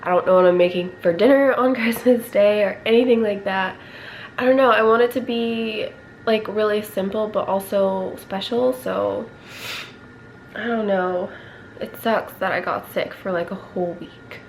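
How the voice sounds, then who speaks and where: Young woman vlogger, raspy voice, recovering from a cold, rambling home chat, faint background noise. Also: medium pitch, normal speed, low-quality recording. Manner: rambling, with frequent throat clearing. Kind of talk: casual vlog chat. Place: at home, indoors.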